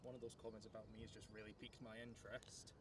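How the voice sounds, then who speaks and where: A man's voice: lowish voice, flat delivery, rapid-fire, slightly rough. A young man from Edinburgh with a Scottish accent, chatting politely outdoors at an airport, talkative and a bit hesitant. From Scotland. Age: young adult. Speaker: visiting plane spotter. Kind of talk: casual chat.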